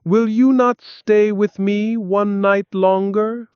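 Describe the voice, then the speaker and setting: A man's voice: high voice, steady tone, slow, a little mellow, clear, resonant tone, no echo, highs cut off, voice pushed up. A man voicing a sorrowful, generous prince statue in a storybook, speaking solemnly and imploringly.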